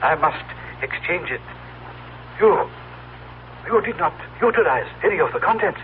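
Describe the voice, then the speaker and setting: An actor playing a calm male visitor from the future in a 1970s radio drama, speaking in formal, halting, measured phrases. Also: a little high, lightly acted. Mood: weary, insistent. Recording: noisy, tinny vintage radio recording, humming.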